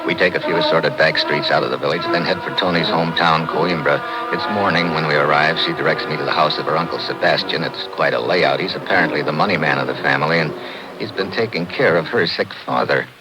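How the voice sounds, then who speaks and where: An actor playing a tough, slangy American investigator who narrates in clipped 1950s radio adventure style. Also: male voice, rumbling low, quick pace, over loud music. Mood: terse, matter-of-fact. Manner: clipped, hard-boiled. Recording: noisy old 1950s radio recording.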